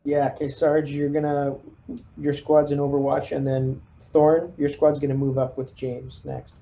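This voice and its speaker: Very dark-voiced man directing a game squad. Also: on the high side, little pitch movement, measured pace, poor audio. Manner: gives step-by-step orders. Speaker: player acting as squad leader. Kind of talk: casual tabletop game play.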